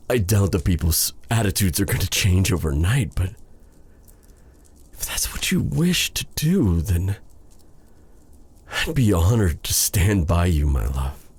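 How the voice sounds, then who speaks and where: A man playing a lovestruck, self-doubting exiled dark sorcerer in an intimate fantasy audio roleplay, breathy, halting and formal in his phrasing. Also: on the low side, full character voice. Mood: devoted, tender. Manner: flustered, stammering, halting, formal old-fashioned phrasing.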